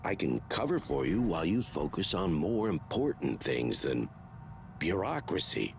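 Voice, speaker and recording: A scheming military aide in a video game, measured and placating. The voice is very low, creaky and full of movement. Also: male voice, big pitch swings, soft background music, exaggerated voice. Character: smooth-talking, calculating military subordinate. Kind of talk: video game cutscene acting.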